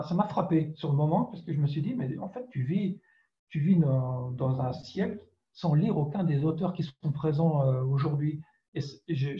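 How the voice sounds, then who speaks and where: Male writer, introspective interview delivery with searching pauses; slightly high voice, roomy muffled recording. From France. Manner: hesitant, searching for words, introspective. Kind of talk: reflective spoken interview answer. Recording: dull, roomy, echoing sound.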